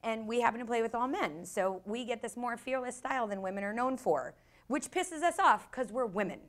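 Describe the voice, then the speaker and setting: A woman's voice: on the high side, animated, brisk. A woman with an American accent, a guest improv teacher speaking bluntly and profanely in a casual podcast interview.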